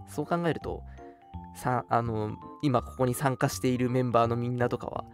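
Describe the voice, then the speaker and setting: A man's voice: mid-range voice, steady tone, brisk. A young man hosting a radio show, chatting casually with a friend in an agreeable way. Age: young adult. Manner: agreeable, often repeats affirmations. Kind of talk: casual chat between friends. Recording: music playing behind the voice. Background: background music.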